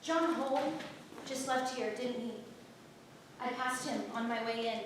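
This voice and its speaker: Stage play: actress as flustered, blunt townswoman with fiery conviction, high-pitched, recorded far off in a very echoey hall. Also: a little slow, lightly acted. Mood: cautious. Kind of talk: staged reading of a historical play. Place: theatre stage, recorded from the audience. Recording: echoey hall, distant mic, faint noise.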